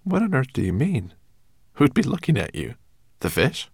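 A man voicing an earnest, curious and excitable young boy in a storybook. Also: mid-range voice, moderate pace, gravelly, breathy edge, no room sound, studio quality, voice pushed up, full character voice.

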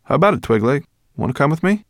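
Excitable storybook boy, performed by a man, raised pitch, friendly and full of wonder, very dry close sound. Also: fast, no echo. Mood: casual. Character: excitable, curious young boy, friendly dragon rider. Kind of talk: audiobook character dialogue.

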